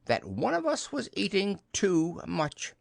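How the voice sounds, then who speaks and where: Storybook rabbit: pitched up, brisk, fussy, proper, matter-of-fact and stern. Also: male voice, huge pitch swings, creaky, no echo, clean studio sound, heavily acted. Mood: reproachful.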